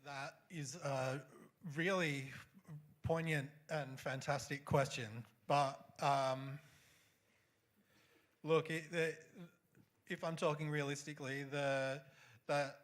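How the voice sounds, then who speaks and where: A young man, a university debater answering a question haltingly, with many restarts and fillers. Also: fairly high pitch, fairly even intonation, fairly bright tone. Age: young adult.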